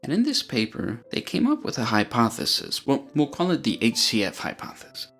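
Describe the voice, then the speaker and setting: A man narrating an explanatory video, speaking in a clear, measured way and walking through ideas step by step. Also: medium pitch, huge pitch swings, a bit bright, faint music behind.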